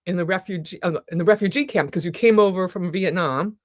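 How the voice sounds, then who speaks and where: A woman's voice: on the low side, fairly quick, no room sound, studio quality. A woman hosting a live interview before an audience, with an effusive, exclaiming manner. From military family, lived partly in Germany as a child. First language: German. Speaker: talk show host.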